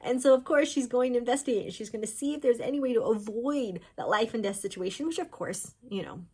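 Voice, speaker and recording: A woman author talking informally about books, in an animated storytelling way. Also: a little high, fairly quick, slightly rough. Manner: animated storyteller, voicing characters' thoughts in quotes. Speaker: author discussing own books. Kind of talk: chatty informal book talk.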